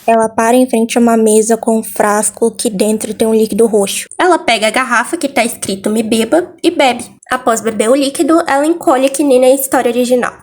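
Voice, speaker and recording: A woman's voice: a little high, a little fast, hardly any pauses, darkish tone. A Brazilian woman narrating a scripted video recap and commentary, explaining things with informal asides. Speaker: video essayist.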